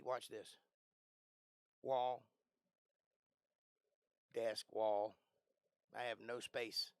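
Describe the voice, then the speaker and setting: A man with a raspy voice that he says he is losing, chatting casually as a livestream host from a small bedroom, often clearing his throat. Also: mid-range voice, fairly bright tone, no echo. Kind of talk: casual live-stream chat. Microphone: close desk microphone.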